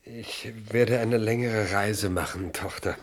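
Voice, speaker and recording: A proud, pragmatic chief and father in a German radio drama. He has a low voice and speaks in a grave, measured, defensive way. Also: fairly even intonation. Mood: defensive, firm.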